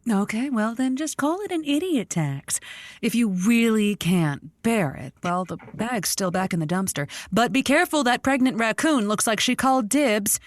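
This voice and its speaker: An actress playing a sassy, flirtatious late-night radio host in a scripted audio comedy, with arch, teasing wordplay. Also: female voice, on the high side, expressive, normal speed, no echo, close to an everyday voice. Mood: wry, sassy.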